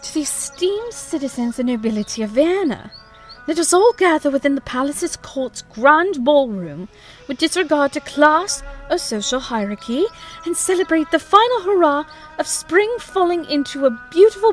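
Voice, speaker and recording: A woman's voice: sits high, animated, normal speed, faint music behind. A woman playing a prim, genteel young wife who speaks formally and teases her husband in an amateur audio drama.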